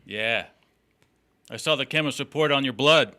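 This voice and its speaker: A man plays a skeptical district attorney in a noir stage play. His mid-pitched voice is bright and forceful. He probes coolly, with dry wry authority. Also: moderate pace, close to an everyday voice. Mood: dry, warning. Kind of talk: noir stage drama dialogue.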